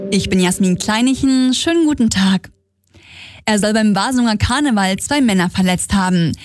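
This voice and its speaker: A woman's voice: mid-range voice, expressive, normal speed, a little mellow, faint music behind. A woman reading scripted regional radio news as an anchor, close on a studio microphone.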